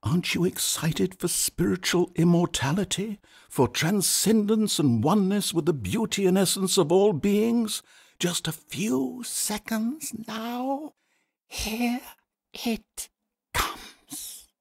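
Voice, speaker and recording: A man's voice: high voice, animated, takes it slowly, vocal fry, husky, full character voice. A man voicing a sarcastic, theatrical narrator in a video game, swinging between dry wit and grand rapture. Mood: coaxing. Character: wry, theatrical male narrator of a game, adult.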